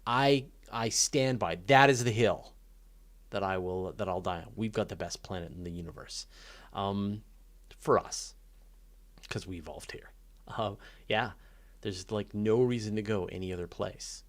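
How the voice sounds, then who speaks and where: A man with a fairly low voice hosts a casual live Q&A. He speaks off the cuff, working through his thoughts aloud. His delivery is informal and opinionated, with emphasis on his points. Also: a little slow. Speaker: host of a live space and astronomy Q&A.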